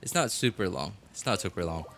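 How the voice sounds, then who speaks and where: A man's voice: low voice, lively intonation, fast, creaky, fairly bright tone, a little hiss or hum. A man casually commentating on a game as he streams it.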